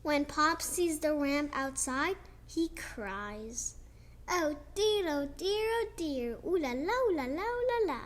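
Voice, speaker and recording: A young girl reading a children's picture book aloud, slowly and expressively, with chatty asides about the pictures. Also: sits high, animated. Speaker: child storyteller.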